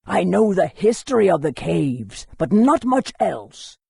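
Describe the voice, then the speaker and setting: A helpful fantasy scholar for a game. The voice is husky and crackly, swinging expressively through measured historical tales. It is recorded very dry. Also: male voice, high-pitched, sing-song, a little mellow, no room sound, full character voice. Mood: storytelling. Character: helpful scholarly lore-keeper in a fantasy city. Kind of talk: video game voice acting.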